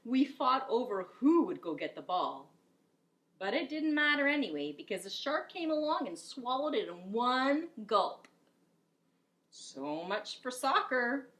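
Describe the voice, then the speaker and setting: A woman gives an expressive read-aloud for children. Her pace is unhurried and her voice is bright and very animated, with dramatic pauses. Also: fairly high pitch. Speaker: storybook reader, teacher.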